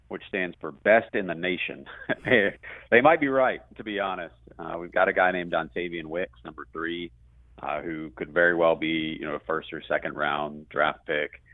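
A man's voice: low-pitched, dry recording. A man talking sports as a radio play-by-play guest, in a chatty, conversational analysis style. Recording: muffled, band-limited phone-like line.

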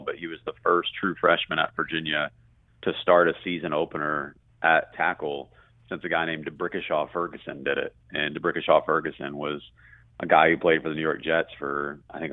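A man's voice: rumbling low, dry recording. A man talking sports as a radio play-by-play guest, in a chatty, conversational analysis style. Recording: muffled, band-limited phone-like line.

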